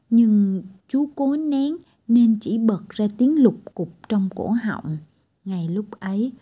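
A woman reads a fairy tale aloud for children in a gentle, expressive way. Her voice is very warm and soft, with a breathy grain. She takes it slowly, letting her pitch rise and fall widely. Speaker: storyteller. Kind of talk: children's storybook narration.

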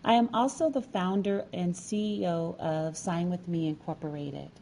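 A woman narrates her own prepared story as a featured storyteller. Her voice sits a little low, and her phrasing is formal and measured. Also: vocal fry, dark tone, a little hiss or hum. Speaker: featured storyteller and sign language educator. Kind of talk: scripted personal narration.

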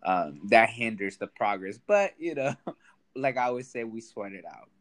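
Young male comic guest from Sacramento, lowish voice, loose and self-deprecating, quick to laugh. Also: sing-song. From Sacramento, United States. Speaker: guest, stand-up comedian. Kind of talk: casual podcast chat.

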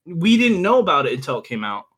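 A man's voice: fairly high pitch, very animated, quick pace, fairly bright tone, slightly rough. A young man appearing as a podcast guest, chatting casually in an informal, opinionated way. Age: young adult. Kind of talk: casual chat.

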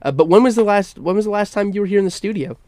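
A man's voice: high voice, racing, a crowd in the background. A young man hosting a college sports talk radio show from a campus studio, sounding a little stuffy, with upbeat patter and frequent stammered restarts. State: stuffy nose.

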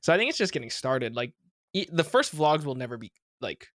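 A man's voice: mid-range voice, very animated, a little fast, fairly bright tone, dry recording, studio quality. A young man hosting a podcast, talking casually with lots of fillers and restarts. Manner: rambling, many fillers and restarts. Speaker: podcast host.

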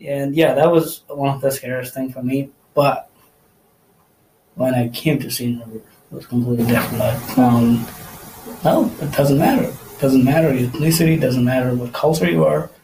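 A man's voice: mid-range voice, normal speed, dark tone, low-quality recording. Interviewee: male college student, Nepali native speaker, hesitant and thoughtful, faint background noise. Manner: hesitant, many fillers, thoughtful. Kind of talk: casual interview answers.

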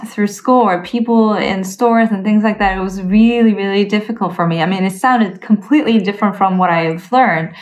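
A woman's voice: mid-range voice, normal speed, few pauses. Young woman musician, thoughtful and hesitant. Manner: thoughtful, hesitant, many fillers and restarts. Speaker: interview guest, cellist. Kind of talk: casual conversational interview.

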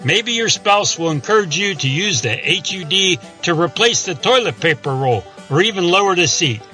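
A zealous radio pitchman played by a man hawks absurd tools in a parody commercial. His voice is bright and forceful and sits a little high. He sounds salesy and mock-earnest, with light music behind him. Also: lively intonation, moderate pace. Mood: salesy, upbeat.

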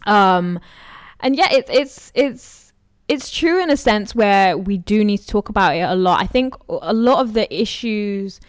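A woman's voice: mid-range voice, lively intonation, a little slow, smooth, no room sound, studio quality. A woman interviewer talking in a casual, conversational way with fillers like "like" and "um".

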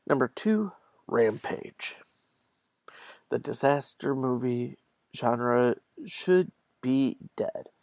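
Creaky-voiced man hosting a podcast. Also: mid-range voice, very slow, darkish tone, dry recording. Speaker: podcast host. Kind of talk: reading an article aloud with commentary.

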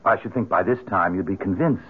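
An actor playing a sly, mocking otherworldly tempter with a suave, taunting manner in an old-time radio drama. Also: male voice, low-pitched, brisk, husky. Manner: suave, taunting, rhetorical questioning. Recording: muffled old radio broadcast.

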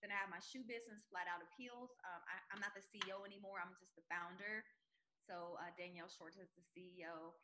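A woman in her forties, a guest entrepreneur telling her story in an emphatic, animated way on a podcast interview. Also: lowish voice, quick pace, background noise. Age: 40s.

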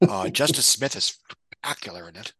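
A man's voice: on the low side, lively intonation, a little fast, pushed and bright, slightly rough, no echo. A man giving casual, opinionated film commentary as a guest, with a wry, blunt way of talking. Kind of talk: casual opinionated movie discussion.

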